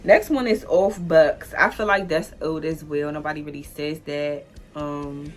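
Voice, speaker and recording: Chatty young D.C. woman, low-pitched voice. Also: steady tone, unhurried, faint music behind. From Washington, D.C. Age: 20s. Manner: loose and chatty, heavy "like" fillers, easy laughter. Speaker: vlogger. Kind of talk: casual solo vlog explainer.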